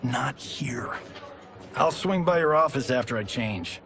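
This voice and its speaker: An actor playing a cocky, flamboyant action-movie star in a video game cutscene, breezy and boastful. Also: male voice, medium pitch, animated, measured pace, creaky. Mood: upbeat. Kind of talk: cinematic game cutscene acting.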